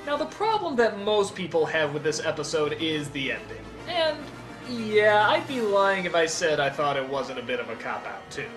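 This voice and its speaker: A man's voice: a little high, normal speed, music in the background. A man narrating a scripted comedic review in an emphatic, exclamatory way. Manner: animated, emphatic, exclamatory, profane. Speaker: video reviewer and countdown host.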